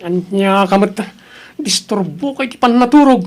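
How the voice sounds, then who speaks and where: A man's voice: high voice, normal speed, lightly acted. A man playing a lazy, irritable young husband in an audio drama, grumbling and argumentative, with a Filipino accent. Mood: irritated, defiant.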